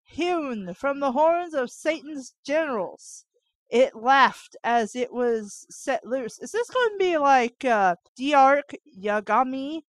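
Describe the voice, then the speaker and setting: A woman's voice: high voice, lively intonation, slow, no room sound. A woman co-hosting a fan fiction read-aloud, breaking off into baffled, informal asides. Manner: incredulous, informal, interjecting asides.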